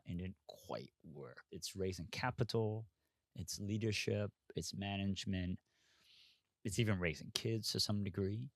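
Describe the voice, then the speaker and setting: A man from Hong Kong speaks as an investor guest in a relaxed interview. His voice sits low, and the sound is dry and close, with no room around it. He talks candidly, circling his points with asides and restarts. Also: no echo. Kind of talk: casual interview conversation.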